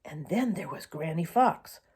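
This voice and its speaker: A woman reading a children's story aloud in an expressive, deliberate storytelling manner with varied emphasis. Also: sits low, husky.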